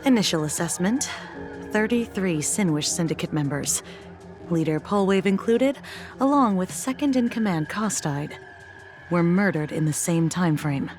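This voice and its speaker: A woman playing a low-voiced, cool investigator. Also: expressive, natural voice. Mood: clinical. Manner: measured, dry, authoritative. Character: cool, wry, businesslike female investigator. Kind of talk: dramatic video game acting. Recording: background music.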